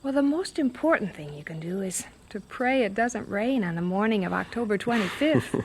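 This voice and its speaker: An actress playing a cheerful, affectionate young missionary bride in an audio drama. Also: female voice, mid-range voice, expressive, moderate pace, darkish tone, a little hiss or hum, natural voice. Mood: happy, teasing. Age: young adult.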